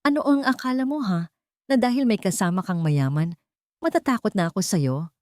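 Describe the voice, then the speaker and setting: A woman's voice: mid-range voice, lively intonation, moderate pace, a little mellow, dry recording, studio quality, voice pushed up, close to an everyday voice. A woman storyteller voicing a threatening, mocking, jealous young man in a romance drama. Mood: menacing. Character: menacing, jealous, taunting young male villain.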